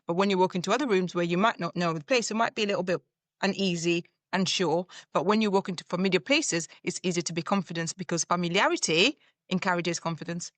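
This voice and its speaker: A woman's voice: fairly low pitch, fast, fairly bright tone, dry recording. A woman from Zambia, a leadership coach, answering interview questions in a reflective way with everyday examples.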